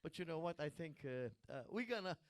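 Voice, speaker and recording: A man hosting a live stream, joking with a guest in halting speech full of restarts. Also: a little high, lively intonation, no room sound. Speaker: host.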